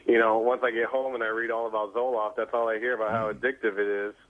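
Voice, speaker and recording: A man's voice: lowish voice, flat delivery, fast talker. A nervous man in casual radio conversation over a thin phone line, stammering, hesitant and often correcting himself. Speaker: radio show staffer being questioned.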